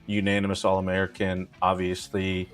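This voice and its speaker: A man's voice: low-pitched, monotone, moderate pace, faint music behind. A man on a sports panel, making his case in casual debate.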